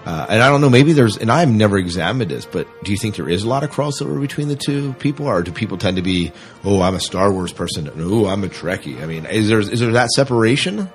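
A man's voice: fairly low pitch, animated, fast talker, hardly any pauses, soft background music. A man co-hosting a podcast in casual, unscripted fan chat, often repeating his words and phrases. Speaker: podcast co-host.

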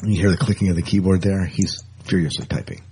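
A man's voice: deep voice, quick pace, mellow, slight background noise. A man co-hosting a casual sci-fi fan podcast, rambling and jokey, often repeating words for emphasis. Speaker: podcast co-host.

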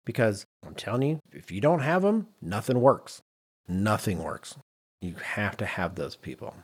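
A man's voice: lowish voice, big pitch swings, normal speed, breathy edge. A man hosting a podcast in a conversational, emphatic, self-deprecating way, moving between chat and read-out notes. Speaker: podcast host.

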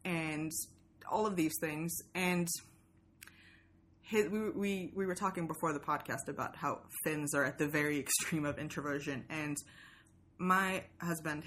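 A woman's voice: lowish voice, fairly bright tone. A woman from Sweden chatting casually as a podcaster, joking and self-deprecating as she tells stories about herself. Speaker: podcast host being interviewed. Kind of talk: casual, animated conversation.

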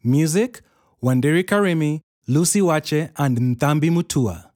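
A woman's voice: low-pitched, measured pace, a little mellow, no room sound, studio quality. A woman reading scripted credits as an announcer.

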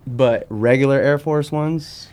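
A man's voice: mid-range voice, slow pace, darkish tone. An American man, a guest on a podcast, chatting in a laid-back, conversational way. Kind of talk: casual chat about sneakers.

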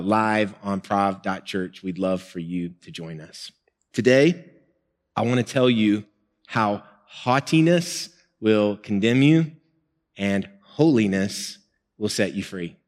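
Us pastor guy, low-ish voice, earnest chatty preaching, echoey church hall. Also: takes it slowly. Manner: earnest, conversational preaching with small laughs. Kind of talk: sermon and prayer. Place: church sanctuary. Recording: music and long hall reverb.

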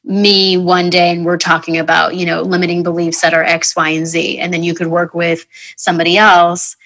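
A woman's voice: lowish voice, flat delivery, moderate pace, fairly bright tone, poor audio. A woman hosting a podcast, chatting in a friendly, casual way with lots of fillers. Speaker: podcast host. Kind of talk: casual interview chat.

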